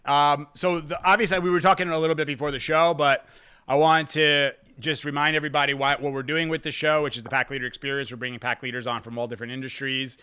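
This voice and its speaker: A man's voice: a little high, flat delivery, quick pace, bright, forceful tone, clear, resonant tone. A man hosting a casual interview, talking in a hyped, slangy way with plenty of swearing. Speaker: podcast host.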